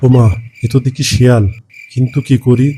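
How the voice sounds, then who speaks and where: A man's voice: medium pitch, level tone, moderate pace, background noise, lightly acted. A man voicing a kind, lonely village farmer in a cartoon, musing in plain rustic phrasing. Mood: worried, pitying. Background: background music.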